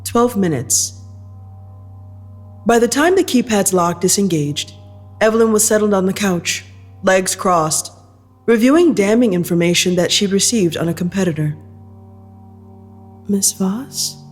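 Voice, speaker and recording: Measured female story narrator over music. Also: medium pitch, animated. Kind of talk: scripted dramatic narration.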